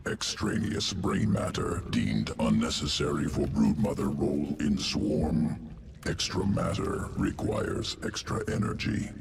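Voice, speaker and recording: An actor voicing a clinical, coldly calculating alien creature in a sci-fi game, gravelly, in clipped phrases without articles. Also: male voice, extremely low pitch, big pitch swings, measured pace, reverberant, recorded from a distance, noisy background, exaggerated voice. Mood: clinical, detached. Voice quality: gravelly, guttural. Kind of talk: scripted sci-fi game voice acting.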